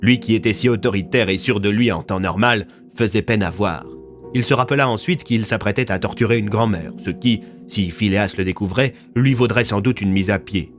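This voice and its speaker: A man narrating a scripted audio fiction story. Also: fairly low pitch.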